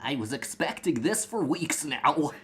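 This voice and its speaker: A caring ranger uncle in a fantasy reader's theater story, voiced by an amateur. The voice sits at an ordinary middle pitch and speaks as a cautious guardian. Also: sing-song, unhurried, a bit bright. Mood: expectant.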